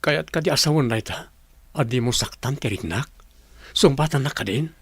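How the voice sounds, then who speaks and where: An actor playing a lovelorn, rejected boss in an Ilocano radio drama, emotive and dramatic, with an Ilocano accent. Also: male voice, mid-range voice, expressive, moderate pace, slightly rough, no echo. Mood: pleading, hurt. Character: lovelorn rejected male boss, pleading, then dejected.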